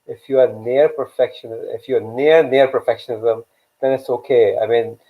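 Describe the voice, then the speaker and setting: A man's voice: mid-range voice, steady tone, normal speed. A man whose first language is Urdu hosts a live online talk, rambling and repeating words as he thinks. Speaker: host. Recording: tinny phone-like line.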